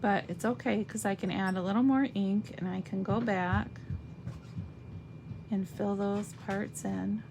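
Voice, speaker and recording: A woman with a slightly raspy voice giving a chatty live craft demonstration, thinking aloud as she stamps and colors. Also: mid-range voice, steady tone, background noise. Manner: slow, chatty, thinks aloud while working.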